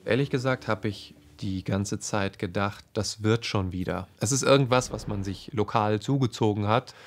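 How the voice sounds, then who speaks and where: Hesitant young father, gravelly lowish voice. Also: normal speed, a little hiss or hum. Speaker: father interviewed. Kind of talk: personal documentary interview recollection.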